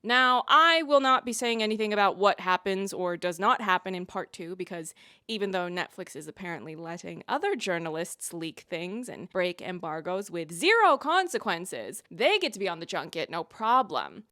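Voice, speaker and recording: A woman's voice: lowish voice, big pitch swings, normal speed, bright, forceful tone, no echo, clean studio sound. A woman giving candid, emphatic, opinionated scripted commentary to viewers as a reviewer. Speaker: video essayist and TV reviewer.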